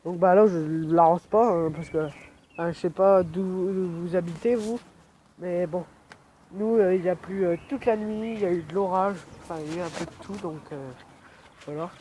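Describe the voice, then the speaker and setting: A man's voice: sits high, normal speed, mellow, a little hiss or hum, rough recording. A young man with a cold, rambling with lots of fillers as he films his yard outdoors on a phone. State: has a cold. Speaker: vlogger. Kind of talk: casual outdoor vlog. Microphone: phone camera.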